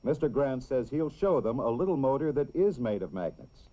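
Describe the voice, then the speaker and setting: Casting: male narrator for a children's instructional film, measured and explanatory, dull vintage soundtrack sound. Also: medium pitch, very animated, dark tone. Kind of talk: scripted educational film narration.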